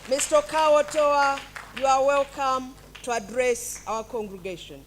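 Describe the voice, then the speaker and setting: A woman at a graduation ceremony reading a formal introduction of the guest speaker in a measured way. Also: sits high, steady tone, some chatter behind, a little hiss or hum. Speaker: master of ceremonies. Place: ceremony hall.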